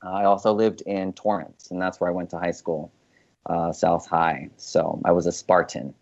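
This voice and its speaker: A man's voice: low voice, normal speed. A young man from Los Angeles, an actor being interviewed, talking casually with lots of ums and you-knows. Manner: relaxed, rambling with many fillers. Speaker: guest actor. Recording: dull lo-fi sound.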